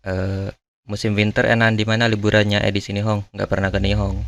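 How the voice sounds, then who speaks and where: Low-voiced man thinking aloud. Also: monotone, a little fast, creaky, fairly bright tone, no echo, studio quality. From Indonesia. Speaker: language channel host answering viewer questions. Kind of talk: casual Q&A chat.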